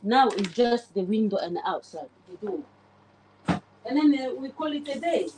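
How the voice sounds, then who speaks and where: A woman's voice: fairly high pitch, animated, moderate pace, noisy background. Chatty woman in her forties, livestreaming. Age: 40s. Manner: chatty, warmly greets viewers by name. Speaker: livestreamer cleaning her oven. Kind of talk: casual live commentary while cleaning. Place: home kitchen, indoors. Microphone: phone camera pointed at the oven.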